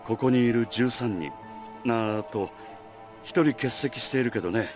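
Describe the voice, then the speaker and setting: An actor playing a smooth-talking, condescending young leader in a visual novel, talking lightly and casually. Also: male voice, medium pitch, brisk, over music, natural voice. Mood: breezy, condescending. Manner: light, casual, looking down on others. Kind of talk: Japanese visual novel voice acting.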